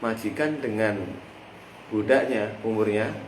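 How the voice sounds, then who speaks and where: A man's voice: lowish voice, a little slow. A man with a Javanese accent teaching a religious text lesson, explaining with everyday examples and drawing his listeners into finishing his sentences. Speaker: teacher of a classical Islamic text. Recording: echoey room with faint background noise.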